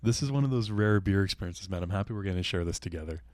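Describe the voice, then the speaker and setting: A man's voice: sits low, lively intonation, fast talker, darkish tone, dry recording. A man co-hosting a casual beer tasting, raving enthusiastically about what he smells and tastes. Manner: enthusiastic, effusive. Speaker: beer reviewer, co-host.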